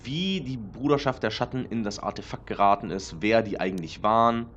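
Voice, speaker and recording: A man hosting a let's play in casual, rambling commentary with many filler sounds, his voice slightly hoarse from a cold. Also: on the low side, a little fast, a bit bright. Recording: dull lo-fi mic with faint noise.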